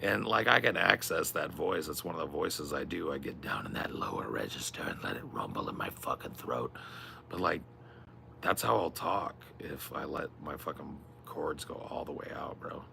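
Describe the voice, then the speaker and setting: A man with a strained, raspy voice chatting casually on a stream, rambling and swearing a lot. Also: rumbling low, moderate pace, sharp tone, slight background noise. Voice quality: gravelly. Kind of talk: casual chat.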